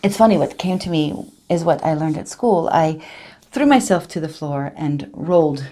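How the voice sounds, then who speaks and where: A woman's voice: sits low, animated, moderate pace, mellow. A woman performing a dramatic monologue as an earnest, emotional survivor, confiding and hesitant in direct address. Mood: grave, shaken.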